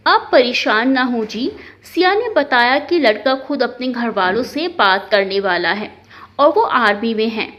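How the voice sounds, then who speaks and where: A woman's voice: fairly high pitch, lively intonation, measured pace, a bit bright. A woman voicing a patient middle-aged mother who placates and reasons in a family story. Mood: placating. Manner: placating, persuading. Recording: dull, lo-fi sound.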